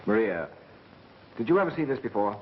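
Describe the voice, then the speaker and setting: An American actor playing a brisk, bossy amateur sleuth with a wry edge, in 1930s film style. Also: male voice, fairly low pitch, sing-song. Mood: probing, wry. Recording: dull, noisy vintage film soundtrack.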